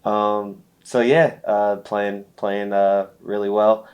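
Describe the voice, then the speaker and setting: A stuffy-nosed man with a lowish voice and little rise or fall in his pitch. He runs a laid-back fantasy football chat, drifting through fillers and easy chuckles. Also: slow pace. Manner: relaxed, lots of fillers and light laughs. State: stuffy from allergies. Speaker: podcast co-host.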